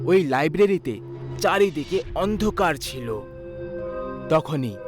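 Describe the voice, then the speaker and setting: A man narrating a scripted horror story. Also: on the high side.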